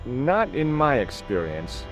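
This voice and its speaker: Game lead: dogged young American, polite, wry, animated intonation, music behind. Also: male voice, medium pitch, slow, rough recording. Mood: dry, sardonic. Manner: polite but persistent, dryly sardonic. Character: earnest, dogged young American man investigating a bombing. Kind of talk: video game dialogue acting.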